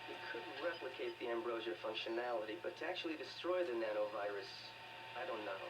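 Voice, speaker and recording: A man's voice: mid-range voice, fast, lightly acted. An actor playing a thoughtful, plain-spoken male scientist ally in a video game. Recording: noisy distant game capture.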